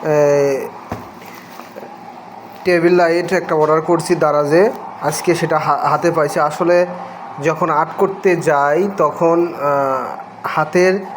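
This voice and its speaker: A Bangladeshi man talks through a casual home vlog in a somewhat high-pitched voice. He speaks in short, hesitant phrases with pauses to think. The recording carries plainly audible noise. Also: fairly even intonation. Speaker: vlogger unboxing a product. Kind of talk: casual unscripted vlog narration. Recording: noisy home video audio.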